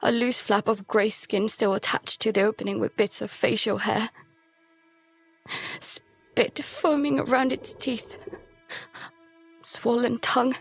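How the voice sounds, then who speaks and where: An actress playing a terrified girl who narrates a survival horror audio drama in halting, fragmented phrases. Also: a little high, slightly rough, faint music behind, exaggerated voice. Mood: tense dread. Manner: halting, fragmented, hushed dread breaking into pleading.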